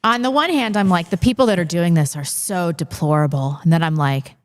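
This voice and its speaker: A woman's voice: lowish voice, brisk. A woman, chatting casually and interviewing as a talk show co-host.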